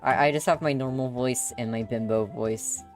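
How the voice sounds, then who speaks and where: A woman livestreaming visual novel readings, chatting casually in an excitable, exclamatory way. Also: a bass voice, normal speed, soft background music. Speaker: streamer.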